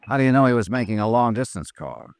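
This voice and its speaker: An actor playing a terse, businesslike American investigator who questions and narrates in a matter-of-fact 1950s radio drama style. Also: male voice, medium pitch, sing-song, fast. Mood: probing. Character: terse, businesslike male investigator narrating his missing-person case. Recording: old radio drama with music behind.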